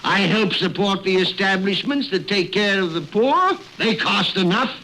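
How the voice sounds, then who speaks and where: Radio drama miser: old, scornful, blustering, animated higher voice on a noisy vintage recording. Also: male voice, unhurried, full character voice. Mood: cold, dismissive. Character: cold-hearted miserly old English businessman, grumbling and scornful.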